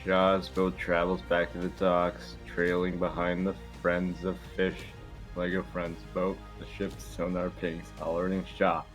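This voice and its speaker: A man's voice: sits low, completely flat delivery, unhurried, soft background music. A man narrating an amateur table read, reading stage directions aloud.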